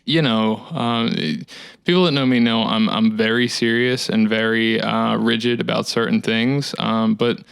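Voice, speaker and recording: A man in his thirties talking in a casual, rambling solo monologue, with a dry, monotone delivery. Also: on the low side, measured pace, creaky, smooth, no room sound. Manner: rambling, reflective, many fillers. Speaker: musician hosting his own talk series. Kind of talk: casual solo talk to camera.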